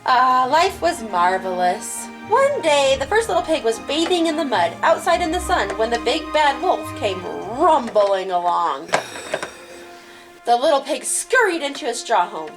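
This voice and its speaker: A woman hosting and telling a children's story in an expressive storybook style. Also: high-pitched, measured pace. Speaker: host and storyteller. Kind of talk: animated storybook narration for children. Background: music.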